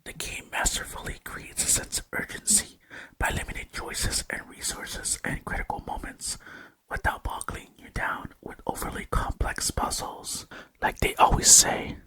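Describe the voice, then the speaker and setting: A man narrating a gaming video in a breathy ASMR whisper, speaking softly and in a measured way close to the microphone. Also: rough recording. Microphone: close microphone, dry sound.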